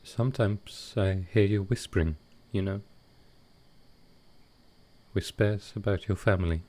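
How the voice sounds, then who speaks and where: A gentle vampire lord of an intimate audio roleplay, acted by a man. His voice sits very low and he speaks very slowly. He is tender and hesitant, a soft-hearted host who carries grief and offers comfort. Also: lightly acted. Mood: tender, reassuring.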